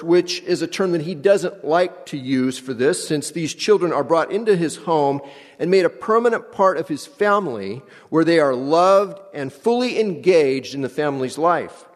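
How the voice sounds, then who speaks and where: A man introducing a visiting pastor to a church congregation, smooth and welcoming. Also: a little high. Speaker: church member introducing a guest. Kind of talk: introduction of a guest speaker. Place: church sanctuary. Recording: echoey church hall.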